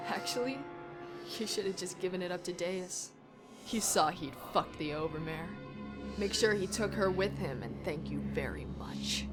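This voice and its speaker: A woman's voice: fairly low pitch, lively intonation, moderate pace, natural voice. A woman voicing an evil mirror-self cyborg pony mare in a story reading, mocking and savage, ranting with profanity. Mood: bitter, sneering. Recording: music bed behind the voice.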